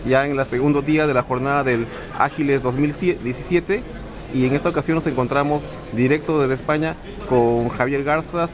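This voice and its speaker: A male interviewer asks long questions in a hesitant way, pausing on fillers as he goes. His voice has an ordinary pitch. Clear crowd chatter carries on around him at a conference venue. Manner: hesitant, many fillers and eh's. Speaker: interviewer, host.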